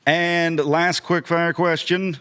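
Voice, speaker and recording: A man's voice: a little high, little pitch movement, slow, a bit bright, clear, resonant tone. A man co-hosting a podcast in casual, enthusiastic banter. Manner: enthusiastic film-buff banter. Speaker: podcast co-host. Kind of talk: casual chat.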